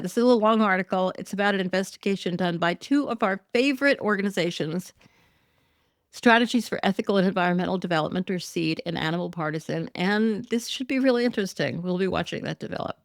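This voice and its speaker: A woman's voice: mid-range voice, a little fast, creaky, no echo, studio quality. A woman hosting a solo podcast, talking through news in a chatty, wry way with many ums and self-corrections, her voice a bit worn. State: losing her voice. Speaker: podcast host. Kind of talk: casual solo commentary podcast.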